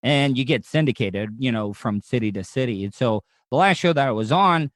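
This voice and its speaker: A man's voice: medium pitch, animated, fairly quick, no echo, studio quality. An American man hosting a podcast interview, chatting casually in long, rambling asides. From United States. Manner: rambling, talks in looping asides. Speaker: podcast host.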